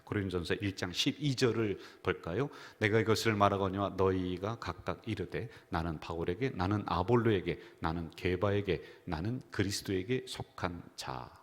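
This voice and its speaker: Man, senior pastor, Sunday sermon, low voice, storytelling, acts out quotes, long church echo. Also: moderate pace, few pauses. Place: church sanctuary. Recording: reverberant church hall.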